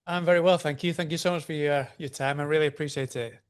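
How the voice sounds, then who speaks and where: A man hosting an interview over a video call, eager and friendly, asking questions and giving quick thanks and agreeing replies. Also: fairly high pitch, steady tone, smooth. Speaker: interview host.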